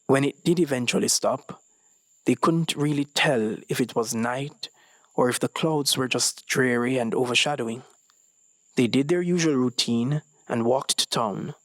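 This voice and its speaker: Young guy reading a short story out loud, scripted narration, really dry no-echo sound. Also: medium pitch, unhurried. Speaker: story reader.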